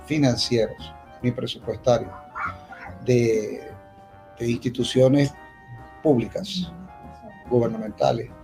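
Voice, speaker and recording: Venezuelan male, creaky middle register, unscripted festival speaker, halting and emphatic, light music behind. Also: medium pitch, a little mellow. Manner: halting, repetitive, with emphatic restatements. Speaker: poet speaking at a poetry festival. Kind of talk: unscripted public talk, reminiscing.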